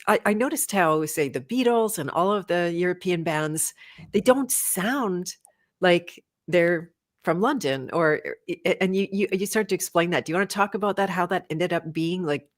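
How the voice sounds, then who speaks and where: A woman's voice: fairly low pitch, normal speed. A woman hosting a casual interview over a video call, affirming her guest and restarting her sentences often. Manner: warm, affirming, trailing restarts. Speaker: podcast host.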